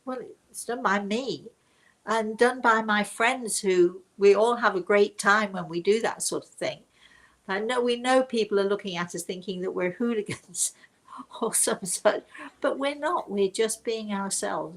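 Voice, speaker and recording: An older woman telling reflective personal stories to her viewers in an emphatic, deliberate manner, often turning to rhetorical questions. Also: medium pitch, creaky, low-quality recording. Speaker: speaker and storyteller hosting her own show.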